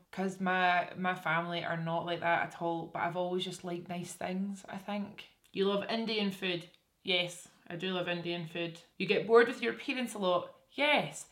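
A young Scottish woman talks straight to her camera in a small curtained room, her voice sitting a little low. She chats loosely and self-deprecatingly, tripping over her own restarts and laughing things off. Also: fairly bright tone. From Scotland. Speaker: beauty vlogger. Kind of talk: casual chat answering viewer assumptions.

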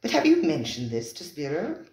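A reader as a sceptical gentleman diner, echoey. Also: medium pitch, huge pitch swings, normal speed. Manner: formal, sceptical. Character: doubtful, questioning male dinner guest.

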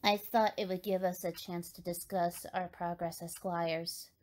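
A woman playing a calm, reserved young pony squire in an animated series, formal and understated. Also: fairly low pitch, unhurried, lightly acted. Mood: polite. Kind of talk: animated fan series voice acting. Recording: music behind the voice.

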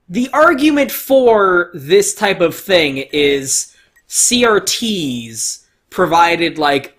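A man's voice: on the high side, a bit bright. A man giving casual, opinionated gameplay commentary, swearing freely and stressing his points. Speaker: let's play host.

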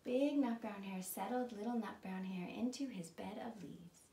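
A young woman reading a picture book aloud to small children in an animated way, pausing to put questions to her listeners. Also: mid-range voice. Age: young adult. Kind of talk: read-aloud children's storytime. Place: living room at home, indoors. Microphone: camera a few feet away. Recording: roomy, distant mic, faint room noise.

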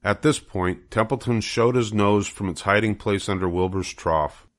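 Older man, low voice, children's book narration, storybook reader. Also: moderate pace.

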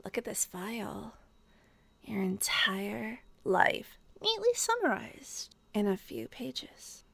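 Woman playing a breathy, slow-whispering teasing female detective. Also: medium pitch, big pitch swings, a bit bright, heavily acted. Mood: teasing, probing. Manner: slow, deliberate, teasing, pausing. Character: teasing, obsessed female detective toying with a caught criminal. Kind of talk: ASMR roleplay, scripted intimate monologue.

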